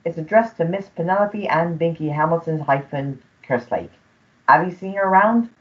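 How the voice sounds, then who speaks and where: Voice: mid-range voice, moderate pace, close to an everyday voice. Performer putting on a shifty young letter boy, muffled line. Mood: shifty, servile. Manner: shifty, ungrammatical, servile "Governor". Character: cheeky servant disguised as humble young letter boy. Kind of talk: melodramatic radio play acting. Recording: muffled, phone-like school radio play recording.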